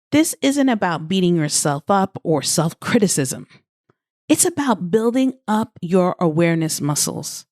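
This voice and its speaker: Slow, expressive woman giving leadership coaching. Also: mid-range voice, no room sound, clean studio sound. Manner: deliberate, emphatic phrasing with short pauses. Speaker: podcast host and leadership coach. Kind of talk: scripted motivational coaching monologue.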